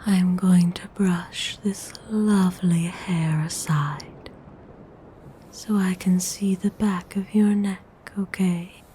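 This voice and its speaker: A woman playing a gentle, seductive vampire noble in a whispered ASMR roleplay, breathy, soothing and intimate. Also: fairly low pitch, fairly even intonation, a little hiss or hum. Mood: tender, intimate. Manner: slow, soothing, intimate, unhurried.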